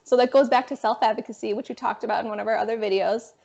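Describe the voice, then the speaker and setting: A young woman in her twenties with a raspy voice, a guest telling her story in a casual, animated interview. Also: on the high side, rapid-fire. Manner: animated, frequent fillers. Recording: dull lo-fi sound.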